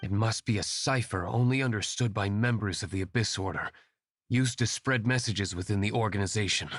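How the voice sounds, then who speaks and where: An actor playing a calm, aloof young winery owner who works as a secret vigilante, measured and reserved. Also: male voice, on the low side, dry recording, studio quality, faint music behind. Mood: cool. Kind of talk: game voice acting.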